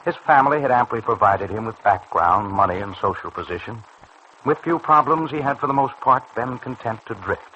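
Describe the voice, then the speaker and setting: A man's voice: mid-range voice, expressive, brisk, muffled recording. A man narrating a mid-century radio serial from a script, with formal, dramatic storytelling. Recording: faintly noisy old radio recording.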